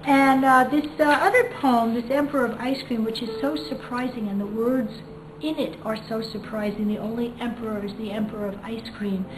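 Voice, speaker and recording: A woman's voice: fairly high pitch, steady tone. A woman English teacher reading and explaining literature informally, in a thoughtful way. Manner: thoughtful, hesitant, pauses often to find words. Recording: echoey room with music behind.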